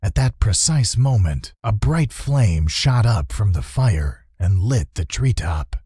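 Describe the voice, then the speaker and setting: A low-voiced man reads a children's fantasy tale with theatrical suspense. His delivery is unhurried and very husky, with lively swings in intonation. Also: dry recording, clean studio sound. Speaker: audiobook narrator. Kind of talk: dramatic scripted children's fantasy narration.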